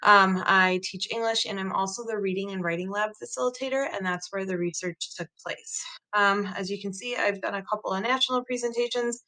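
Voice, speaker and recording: A woman's voice: medium pitch, steady tone, brisk, bright, forceful tone, rough recording. A young adult woman whose first language is Croatian, earnestly presenting her research study over slides, recorded on a laptop webcam. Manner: earnest, explanatory, frequent "um" fillers. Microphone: laptop webcam video call.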